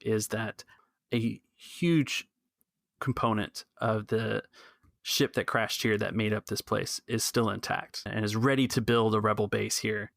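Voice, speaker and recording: A man playing an earnest cantina keeper in a tabletop space adventure, urging rebellion in a halting, persuasive way. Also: on the low side, steady tone, gravelly, fairly bright tone, no room sound, studio quality. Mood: conspiratorial, earnest.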